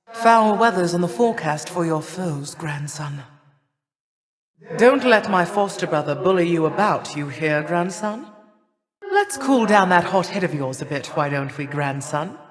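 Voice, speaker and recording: A woman playing an aged, proud yet tender grandmother goddess of winter in a video game, speaking in formal, measured, archaic phrases. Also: sits low. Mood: warm, gently teasing. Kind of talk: video game character voice acting. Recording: long reverberant hall echo.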